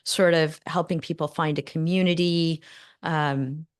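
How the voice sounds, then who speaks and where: A middle-aged woman from Northern California leads an interview with a somewhat low voice. She wanders through long questions, restarting and filling pauses with ums. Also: steady tone. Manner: rambling, many fillers and restarts. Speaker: podcast host. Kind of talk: interview conversation.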